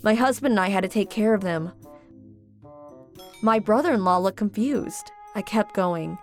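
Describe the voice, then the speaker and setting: A woman's voice: medium pitch, normal speed, faint music behind. A woman reading scripted first-person story narration as the narrator of a dubbed comic.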